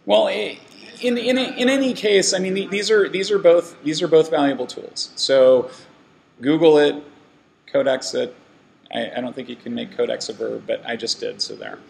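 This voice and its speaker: A man's voice: fairly high pitch, moderate pace, gravelly, a little hiss or hum, poor audio. A man giving a casual talk to a meetup audience, rambling with lots of fillers and restarts. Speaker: meetup speaker. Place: meeting room with an audience.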